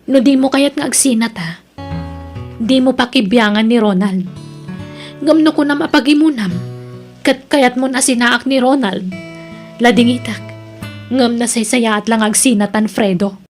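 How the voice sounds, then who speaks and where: A woman's voice: a little high, moderate pace, pauses often, a little mellow, soft background music, natural voice. A woman with an Ilocano accent acting in an audio drama as a young student, an evasive and defiant girlfriend. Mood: firm, annoyed.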